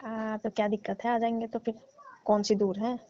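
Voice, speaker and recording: A woman's voice: on the high side, little pitch movement, normal speed. A young woman on a staged comic phone call, teasing and coaxing the man she calls uncle, playing a patient. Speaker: caller posing as patient. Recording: muffled phone call recording.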